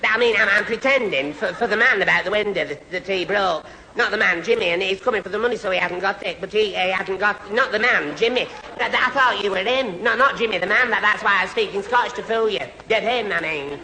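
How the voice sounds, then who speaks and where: A man's voice: high-pitched, a little fast, pushed and bright, poor audio. A man playing a daft, put-upon young sidekick with a Northern English accent, muddled and stammering, in a 1970s radio sitcom. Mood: muddled, panicky. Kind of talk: 1970s radio sitcom acting before an audience. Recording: old radio recording, faint noise, audience.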